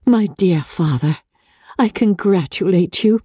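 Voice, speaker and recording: A woman voicing a gentle, hopeful young gentlewoman in a dramatic reading of a classic novel, earnest and soothing in formal period diction. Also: fairly low pitch, expressive, measured pace, husky, no echo, studio quality, voice pushed up. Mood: glad.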